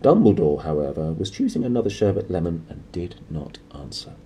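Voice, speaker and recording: Animated, low-voiced man reading a storybook. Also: brisk, a little hiss or hum. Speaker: storybook reader. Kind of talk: expressive children's audiobook narration.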